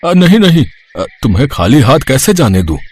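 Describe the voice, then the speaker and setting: A man voicing a kindly, pious old man in an animated moral story, speaking with halting, hesitant openings. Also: medium pitch, slightly rough. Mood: grateful, insistent. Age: older.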